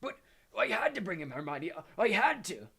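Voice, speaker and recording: Male narrator voicing a hulking half-giant gamekeeper: gravelly, husky, stammering, rustic and soft-hearted. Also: high voice, very animated, moderate pace, pitched above the performer's own voice, exaggerated voice. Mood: urgent.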